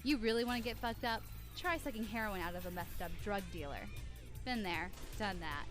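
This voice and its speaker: A woman's voice: mid-range voice, fairly quick, over music, close to an everyday voice. An actress voicing a brash, thrill-seeking young female vampire in a video game, with a sassy, swaggering, slangy manner. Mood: amused, cocky.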